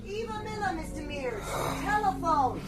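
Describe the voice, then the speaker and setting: A very high-voiced worried landlady. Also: lively intonation, moderate pace, very noisy. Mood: concerned, urgent. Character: worried landlady rousing a lodger, urgent. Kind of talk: audio drama acting.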